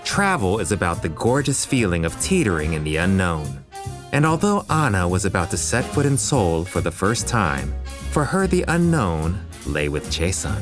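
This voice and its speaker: A man's voice: low voice, big pitch swings, normal speed, music in the background. A man narrating a romantic comedy audio drama in a scripted storytelling style.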